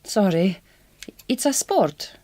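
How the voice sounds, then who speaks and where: An actress playing a direct, kind but quietly wounded Hungarian migrant farm worker in a radio drama, with a Hungarian accent and careful, reassuring speech. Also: female voice, medium pitch, sing-song, slow. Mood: friendly, curious.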